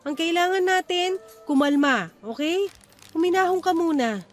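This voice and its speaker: Caring wife in a radio drama, high voice, reassuring, reasoning, music under it. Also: female voice, animated, moderate pace. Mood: calming, pleading. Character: steady, caring wife and mother soothing her husband. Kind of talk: Filipino radio drama acting.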